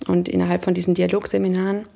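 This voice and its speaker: Thoughtful woman with heavily creaky voice. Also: fairly low pitch, level tone, fast, mellow. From Germany. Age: 30s. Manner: thoughtful, searching for words. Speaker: interviewee. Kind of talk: reflective personal account in an interview.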